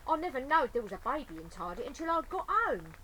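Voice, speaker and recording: Woman acting a good-natured, animated male burglar. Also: high-pitched, fast, slight background noise, pitched above the performer's own voice, full character voice, low-quality recording. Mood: sheepish. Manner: colloquial, ungrammatical, earnest speech. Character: good-natured, simple working-class man burglar turning honest.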